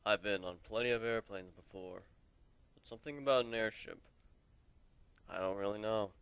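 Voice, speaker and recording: A man giving a dramatic, deliberate first-person narration of a fantasy story as an amateur reader. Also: lowish voice, fairly bright tone, clear, resonant tone, dry recording.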